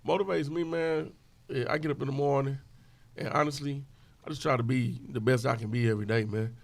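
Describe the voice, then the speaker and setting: Fast-talking man in his forties. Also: medium pitch, steady tone, gravelly, no room sound. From Houston, Texas. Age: 40s. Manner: earnest, emphatic, street slang. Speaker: podcast guest, club owner. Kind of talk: casual conversation.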